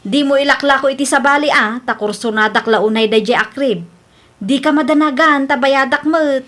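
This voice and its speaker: A woman with a Filipino Ilocano accent playing an excitable, stubborn expectant young mother in a radio comedy drama, insistent and complaining. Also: high-pitched, normal speed. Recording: background music under the voice.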